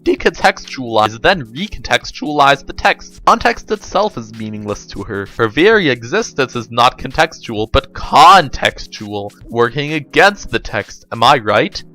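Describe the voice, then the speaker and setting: A man's voice: mid-range voice, sing-song, unhurried, hardly any pauses, sharp tone, soft background music. A man voicing a wordy, sarcastic know-it-all with a pedantic manner in a dramatic story reading. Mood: pedantic explaining. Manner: sardonic, pedantic. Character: wordy, sarcastic know-it-all who grudgingly explains things.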